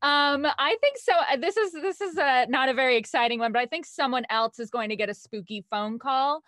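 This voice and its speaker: A woman's voice: high-pitched, lively intonation, fairly quick, fairly bright tone, no echo, clean studio sound. A young adult woman chatting casually as an actor on an online panel. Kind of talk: casual chat. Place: home, on a video call.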